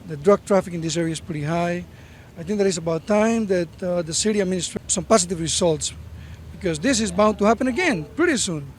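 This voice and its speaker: A man's voice: high voice, lively intonation, fast, few pauses. A man giving a news interview on the street, speaking hesitantly in formal, careful wording. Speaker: community spokesman interviewed on the street. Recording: 1970s newsreel, street and traffic noise.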